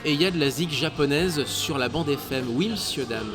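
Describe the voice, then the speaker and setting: Man, thirties, chatty interview guest, slightly high voice, stammering fillers, clear bar music behind. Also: brisk. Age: 30s. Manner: chatty, hesitant with many fillers and stammered repeats. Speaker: guest, radio show co-host. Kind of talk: casual interview chat.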